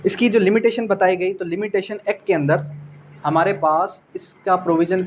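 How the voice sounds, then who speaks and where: High-voiced Pakistani man lecturing. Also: fairly even intonation, moderate pace, slight background noise. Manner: didactic, asking questions and answering them; code-switching between Urdu and English legal terms. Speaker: law lecturer. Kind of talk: explanatory exam-prep lecture.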